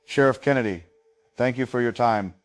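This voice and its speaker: An actor playing a serious local news anchor, formal and polite in a breaking news broadcast drama. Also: male voice, mid-range voice, moderate pace, faint music behind, close to an everyday voice. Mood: sober, formal.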